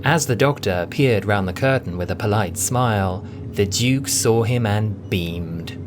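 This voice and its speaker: A man narrating a scripted audio drama as a theatrical storyteller, with deliberate emphasis. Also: lowish voice, noisy background. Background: music.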